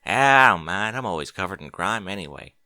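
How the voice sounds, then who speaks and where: A man's voice: deep voice, expressive, fairly quick, sharp tone, no echo, full character voice. A man voicing a friendly, down-to-earth country pony mare with an easygoing drawl.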